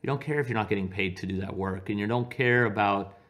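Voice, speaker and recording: A man in his forties with a low voice speaks in a conversational interview style. He often doubles back on his opening words and corrects himself as he reflects and tells personal stories. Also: fast talker, fairly bright tone. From Iran. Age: 40s. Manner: hesitant, self-correcting, repeats opening words. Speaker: physician being interviewed.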